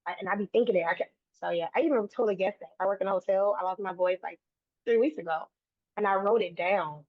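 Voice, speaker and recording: A woman's voice: mid-range voice, quick pace, dry recording. A woman guest talking earnestly in a casual interview, restarting and repeating phrases as she goes. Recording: dry, tinny phone-like line.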